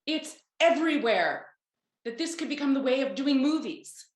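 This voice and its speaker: A woman's voice: high voice, expressive, normal speed. A woman playing a demanding, menacing tech boss, curt and condescending, in a comedy table read on a video call. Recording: echoey room on video call.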